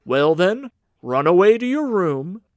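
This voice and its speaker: A man's voice: sits high, sing-song, unhurried, no echo, pitched above the performer's own voice. A man reading an audiobook as a stern, insistent uncle and guardian, speaking formally and firmly. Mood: firm, curt. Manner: firm, formal, commanding. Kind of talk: audiobook character dialogue.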